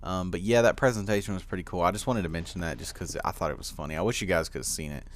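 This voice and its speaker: A teenage boy with a deep voice giving casual gameplay commentary, rambling with lots of fillers and easy laughs. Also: racing, a little hiss or hum. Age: teens. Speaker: gaming commentator.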